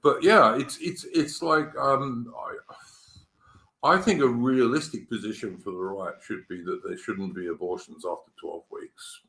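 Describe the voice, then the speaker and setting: English man, 50s, live-stream host, bright edgy tone, roomy echo, halting delivery. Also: medium pitch, gravelly. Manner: halting, many fillers and restarts. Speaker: podcast host. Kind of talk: casual political chat.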